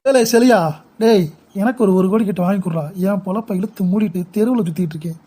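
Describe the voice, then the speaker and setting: Woman as a mellow-toned, evasive cartoon husband. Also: mid-range voice, fast talker, slightly rough, close to an everyday voice. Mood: bitter. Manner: grumbling, evasive. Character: evasive, envious middle-aged husband, whiny schemer. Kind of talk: cartoon parody dialogue.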